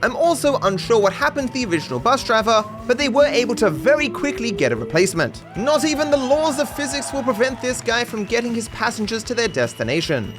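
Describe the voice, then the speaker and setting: A man with a high, very lively voice narrates a scripted comedic video about a game. His delivery is sardonic and mock-dramatic, with faint music playing underneath. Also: quick pace, few pauses. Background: background music.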